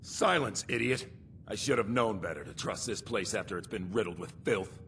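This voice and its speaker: An actor playing a stern, overbearing guard captain in a fantasy video game, commanding and accusatory in manner. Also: male voice, fairly low pitch, expressive, normal speed, gravelly, a bit bright, a little hiss or hum. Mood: outraged.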